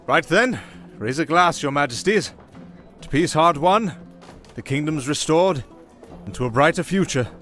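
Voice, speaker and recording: A man acts out a kindly, fatherly archbishop from a fantasy audio drama. He speaks in a formal, measured way with gentle irony, pitched slightly high for a man. Music runs behind the voice. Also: expressive. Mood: ceremonial. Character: genial, wry, fatherly archbishop.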